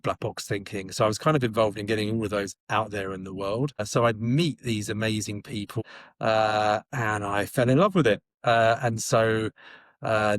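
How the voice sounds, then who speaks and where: A man who works as a coach and publisher, talking in a casual, halting way with many pauses. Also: lowish voice, no echo. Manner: hesitant, lots of uh and restarts. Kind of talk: casual conversation.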